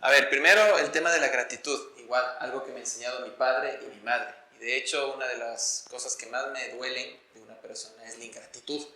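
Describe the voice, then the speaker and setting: A man's voice: medium pitch, steady tone, a little fast, few pauses, bright, forceful tone, echoey room, low-quality recording. An Ecuadorian man speaking as an interview guest, explaining his views and experiences in an earnest, articulate, conversational way. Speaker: interview guest, sports journalist.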